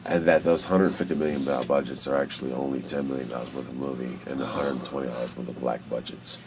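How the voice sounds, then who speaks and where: A man chatting casually as a co-host, excitable and slangy, swearing freely as he talks. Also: a bass voice, quick pace. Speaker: podcast co-host. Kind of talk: casual chat. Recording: clear background noise.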